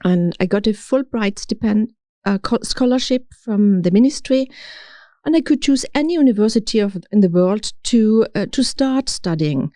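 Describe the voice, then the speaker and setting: A middle-aged woman from Austria with an Austrian accent, telling her story as an interview guest, halting now and then as she searches for words in English. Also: medium pitch, expressive, dark tone, no room sound, studio quality. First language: German. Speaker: podcast guest, city official. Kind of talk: conversational interview, personal storytelling.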